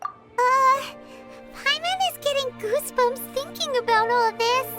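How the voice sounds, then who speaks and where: A woman voicing a small, chatty, excitable childlike fairy guide in a video game, with a high squeaky voice. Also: a little slow, loud music behind the voice. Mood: nervous. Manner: refers to self in third person, exclamatory. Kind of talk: video game character voice acting.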